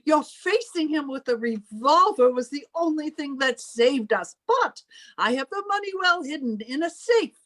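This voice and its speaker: An actress playing a prim, protective spinster sister in an old-time radio drama, formal and melodramatic. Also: female voice, high-pitched, lively intonation, moderate pace, fairly bright tone. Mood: confiding.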